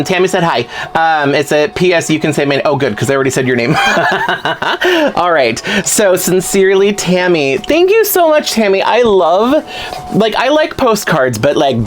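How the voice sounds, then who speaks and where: A man chatting casually to camera at home as he opens fan mail, excitable and rambling with little asides. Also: on the high side. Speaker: vlogger opening fan mail. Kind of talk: casual unboxing chat.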